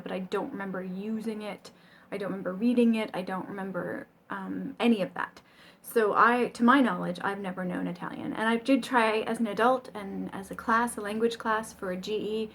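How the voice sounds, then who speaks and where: A woman's voice: mid-range voice, slight background noise. A middle-aged American woman chatting casually to camera about learning Chinese, rambling and self-deprecating, with frequent ums and little laughs. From United States.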